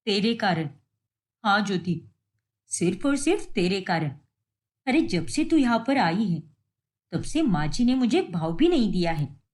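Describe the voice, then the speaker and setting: A woman's voice: mid-range voice, big pitch swings, a little slow, long pauses, a little mellow. A woman voicing a jealous daughter-in-law in a moral-story cartoon. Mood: bitter, jealous. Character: jealous, scheming daughter-in-law, later repentant.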